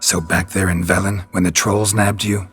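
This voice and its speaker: Very raspy, gruff monster hunter. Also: male voice, low voice, moderate pace, exaggerated voice. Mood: questioning. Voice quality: gravelly, husky rasp. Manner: terse, flat, skeptical. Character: gruff, weary, laconic male monster hunter. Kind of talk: cinematic game voice acting.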